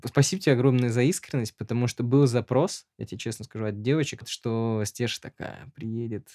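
A man's voice: medium pitch, fairly even intonation, brisk, a little mellow. A man hosting a podcast, chatting casually in rambling, slangy language. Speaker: podcast host.

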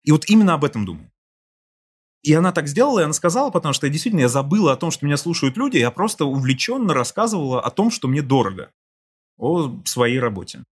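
Husky-voiced man telling a long story. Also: on the high side, very animated, quick pace. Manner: explains at length, acts out quoted voices, small laughs. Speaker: podcast guest, creative director. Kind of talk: relaxed interview storytelling.

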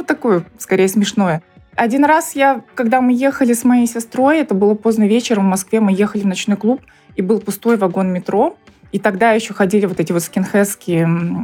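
A woman's voice: medium pitch, a little mellow, slightly rough. A woman in her thirties from Buryatia in Russia, telling personal stories casually with a wry, informal manner. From Ulan-Ude, Buryatia, Russia. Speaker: podcast interviewee.

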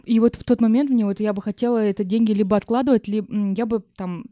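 Young woman with a mellow, close voice. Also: mid-range voice, steady tone, quick pace, no echo. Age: young adult. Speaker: podcast guest. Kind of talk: casual chat.

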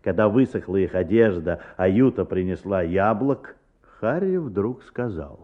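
A man's voice: low voice, expressive, measured pace. A man narrating a children's adventure tale in a scripted radio play. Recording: muffled narrow-band old radio recording.

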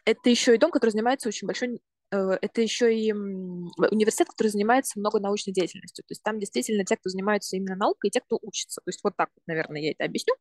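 A woman in her twenties from Russia, a university student and podcast guest, talking casually and explaining things step by step, often pausing to rephrase. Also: mid-range voice, no room sound, studio quality. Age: 20s.

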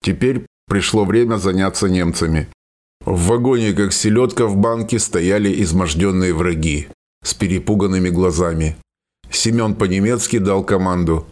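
A man with a fairly low voice narrates a war story. The delivery is scripted storytelling for a radio play. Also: moderate pace, darkish tone.

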